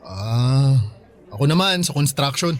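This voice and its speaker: A man's voice: medium pitch, animated, measured pace, close to an everyday voice. A man playing a friendly, chatty young Filipino worker in a radio drama, with a Filipino accent. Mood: sociable. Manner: casual, sociable.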